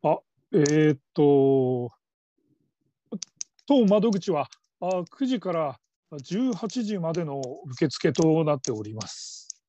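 A man's voice: fairly high pitch, sing-song, takes it slowly, dark tone. A man playing a flustered, middle-aged father who works as a call-centre operator, stammering in stiffly formal politeness in a radio drama. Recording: dull lo-fi audio.

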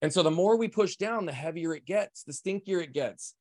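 A man speaking fast and loud, full of energy, as he explains ideas as a podcast guest. His voice sounds close and dry, with no room around it. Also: sits high, animated, no room sound. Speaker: guest, performance coach. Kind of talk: podcast interview, emphatic explaining.

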